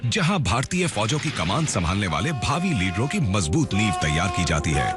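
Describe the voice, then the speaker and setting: A man's voice: on the low side, big pitch swings, normal speed. A man reading scripted documentary narration as the narrator. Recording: loud music under the voice. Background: loud background music.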